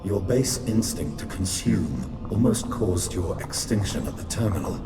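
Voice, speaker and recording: A grave, imperious machine commander in a sci-fi game cutscene. The voice is very deep and very deliberate, over loud music. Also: male voice, lively intonation. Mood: stern, commanding. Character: commanding, resolute machine leader rallying a swarm. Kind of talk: dramatic scripted video game cutscene acting.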